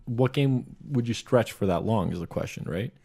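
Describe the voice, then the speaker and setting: A man's voice: medium pitch, animated, moderate pace, dark tone, breathy edge. A man co-hosting a casual chat, thinking aloud and often posing questions. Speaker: show co-host.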